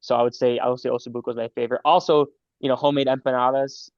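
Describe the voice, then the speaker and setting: Gravelly young man, muffled dry sound. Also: medium pitch, little pitch movement, dry recording. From Los Angeles, California. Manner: friendly, halting with fillers and repeats. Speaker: medical student guest. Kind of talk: casual interview answers.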